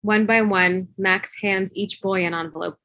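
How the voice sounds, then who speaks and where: A woman's voice: medium pitch, fairly even intonation, unhurried, sharp tone, no echo. A woman reading scripted stage directions as narration on a video call. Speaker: stage-direction reader in a table read.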